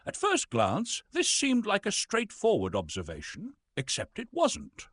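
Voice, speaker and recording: A pompous stage actor, played by a man, reads a science lecture as grand theatre. His husky voice leaps dramatically up and down in pitch, in a very dry, close recording. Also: wildly swooping pitch, a little slow, fairly bright tone, dry recording, full character voice. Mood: grandly explanatory. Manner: dramatic, pompous, emphatic delivery. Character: pompous, disgruntled male Shakespearean actor reading physics lectures. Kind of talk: theatrical scripted science narration with comic asides.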